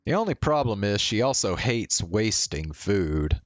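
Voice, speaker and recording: A man's voice: lowish voice, big pitch swings, measured pace, no room sound, faint music behind. A man narrating a visual novel aloud as a streamer.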